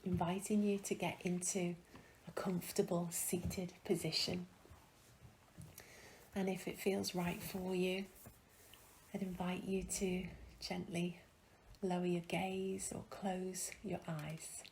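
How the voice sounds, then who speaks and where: A woman guiding a meditation in a gentle, soothing, hushed and breathy voice, leaving long pauses between phrases. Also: fairly low pitch, little pitch movement, a little hiss or hum, low-quality recording. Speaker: meditation guide. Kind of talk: guided meditation, soothing and slow.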